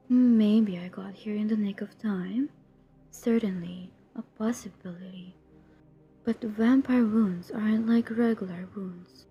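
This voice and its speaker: A woman playing a centuries-old vampire who hunts her own kind in an audio roleplay, cold, menacing and drily teasing, in a deliberate half-whisper. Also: medium pitch, mellow, lo-fi sound. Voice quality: breathy, husky, half-whispered. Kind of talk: intimate scripted audio roleplay.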